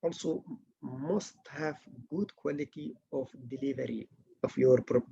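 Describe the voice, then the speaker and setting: A middle-aged man from Malaysia, giving an academic writing workshop lecture in earnest, halting English with many restarts. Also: mid-range voice, gravelly, warm tone, breathy edge, muffled recording. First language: not English.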